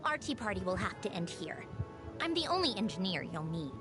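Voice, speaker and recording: A woman's voice: mid-range voice, sing-song, brisk, lightly acted. A woman playing a sardonic, easily exasperated engineer commander in a video game, with a dry, teasing manner. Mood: brisk, resolved. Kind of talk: scripted video game voice acting. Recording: music under the voice. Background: game music.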